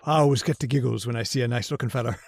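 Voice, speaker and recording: A woman's voice: racing, creaky, darkish tone, dry recording, clean studio sound, full character voice. A woman playing a simple, nervous, giggly man who stammers and yields meekly, in old-time radio horror style. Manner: stammering, giggly, swooping between high and low.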